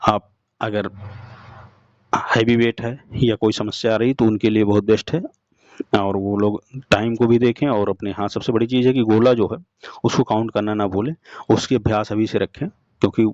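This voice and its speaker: A young man gives an informal explanatory update, speaking to listeners directly in halting, repetitive phrases. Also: medium pitch, darkish tone, no room sound. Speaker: exam update presenter. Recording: dull lo-fi sound.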